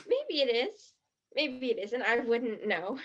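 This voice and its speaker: A woman acting a shy, fibbing teenage tourist girl in a stage play, defensive and dreamy, with a husky, breathy voice. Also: fairly high pitch, sing-song, takes it slowly, fairly bright tone, muffled recording, full character voice. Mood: coy, boastful.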